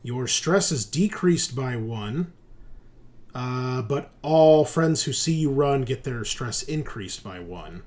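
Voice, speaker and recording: A man's voice: medium pitch, darkish tone. A man running a tabletop roleplaying game as game master, explaining rules and procedure step by step. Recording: clearly noisy background.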